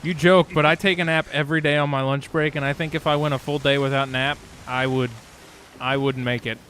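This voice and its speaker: A man's voice: fairly high pitch, level tone, fairly quick, a bit bright, slight background noise. A man in his late twenties chatting casually while gaming with friends, swearing freely as he goes. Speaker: game streamer.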